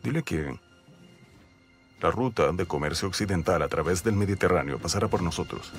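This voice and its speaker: A young sultan who speaks in slow, commanding decrees. His voice sits very low and steady. Soft score plays beneath him. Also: soft background music. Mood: stern. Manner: slow, authoritative pronouncements. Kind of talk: Spanish dub of a historical series.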